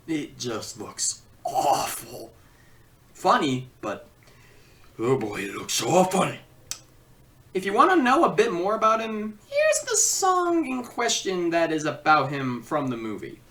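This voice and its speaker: A woman's voice: on the low side, fairly bright tone, recorded from a distance. A woman narrating an informal comedic review as a video reviewer, with joking, mock-dramatic asides.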